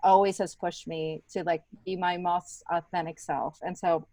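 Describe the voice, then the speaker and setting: A middle-aged American woman, a stand-up comedian being interviewed, telling stories casually with an animated, emphatic delivery. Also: fairly low pitch, normal speed, dry recording. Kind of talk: casual conversational storytelling.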